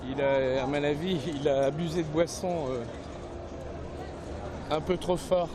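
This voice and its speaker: A man's voice: a little high, fairly even intonation, a little slow, background noise. A man, a football supporter, chatting casually with fans outdoors, hesitant with many fillers. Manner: hesitant, lots of "euh", switches to simple English. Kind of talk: casual street chat. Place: outdoors among crowds near a stadium. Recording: loud crowd and music behind.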